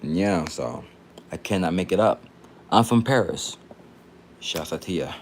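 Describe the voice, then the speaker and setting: A man's voice: low voice, lively intonation, measured pace, slight background noise. A man in his late twenties from Texas, chatting casually on a livestream in a rambling, repetitive, slangy way. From Texas, United States.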